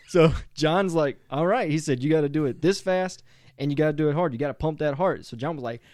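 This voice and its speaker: An American man co-hosting a talk show, telling stories in a casual, animated and joking way. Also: fairly high pitch, fairly quick, no room sound, studio quality. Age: adult parent of a young child. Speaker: show co-host. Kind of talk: casual chat, storytelling.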